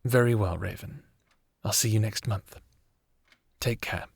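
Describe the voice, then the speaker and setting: Gentle male therapist, very dry sound. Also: fairly low pitch, vocal fry, slightly rough, no room sound, exaggerated voice. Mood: gentle, reassuring. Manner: measured, tentative, with hesitations. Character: gentle, encouraging male therapist, attentive and professional. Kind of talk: audio drama roleplay acting, one-on-one session.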